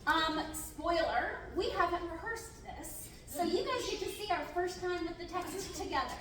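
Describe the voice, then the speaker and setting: Chatty organizer, woman, very high voice, roomy distant sound. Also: lively intonation, normal speed, fairly bright tone. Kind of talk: introducing a table reading. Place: large classroom. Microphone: far camera. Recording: echoey room from far off.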